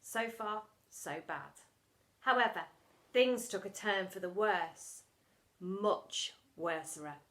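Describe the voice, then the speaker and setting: A woman reading a children's story aloud in an animated, theatrical storytelling style. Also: mid-range voice, slow, roomy sound. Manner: expressive, theatrical delivery with acted sound words.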